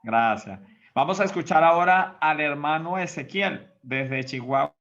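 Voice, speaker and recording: A man's voice: a little high, expressive, a little slow, a bit bright, highs cut off. A man hosting an online church meeting, politely addressing speakers by name with short, formal turns. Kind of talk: moderating a church call. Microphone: computer mic on a call.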